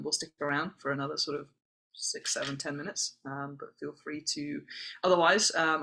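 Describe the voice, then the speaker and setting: A woman's voice: low voice, bright, forceful tone. A woman hosting an online information session, answering questions in an accommodating, explanatory way. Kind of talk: spoken Q&A answering chat questions. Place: online video call.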